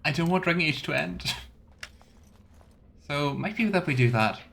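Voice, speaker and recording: A man's voice: a little high, animated, moderate pace, gravelly, fairly bright tone. A man giving casual, musing let's play commentary as a game streamer, with playful asides to his viewers. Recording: faint noise with keyboard clicks.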